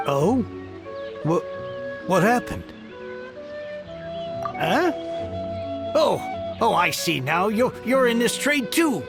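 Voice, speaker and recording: A man's voice: sits high, very animated, slow pace, pauses often, music in the background, exaggerated voice. A man playing an anxious, easily flustered merchant in a video game, with a stammering delivery. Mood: flustered, haggling. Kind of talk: video game character acting.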